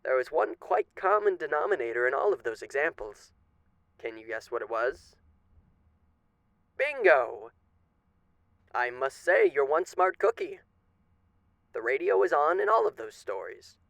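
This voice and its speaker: A man's voice: on the high side, very animated, a little slow, gravelly, pauses often, fairly bright tone, no echo, exaggerated voice. A man playing a suave, menacing radio demon in an ASMR roleplay, speaking with genteel, teasing, theatrical politeness. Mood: showman-like, sly.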